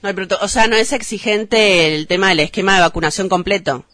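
An Argentine woman hosts a live news interview on the radio. Her voice sits at a medium pitch, in a very dry sound. She speaks as an interviewer putting questions to a guest. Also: steady tone, fairly quick, fairly bright tone, no room sound.

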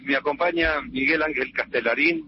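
Older Argentine singer, radio guest, relaxed and hesitant, muffled sound. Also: male voice, fairly high pitch, slight background noise. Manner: unhurried, hesitant storytelling with fillers. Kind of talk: radio interview. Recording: dull radio studio sound.

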